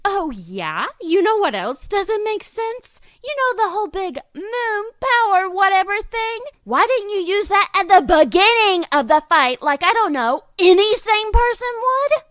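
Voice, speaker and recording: A woman's voice: extremely high pitch, very animated, a little slow, hardly any pauses, a bit bright, no room sound, clean studio sound, exaggerated voice. A woman voicing a bossy, hot-tempered teenage magical-girl heroine in a parody audio drama, scolding and exasperated.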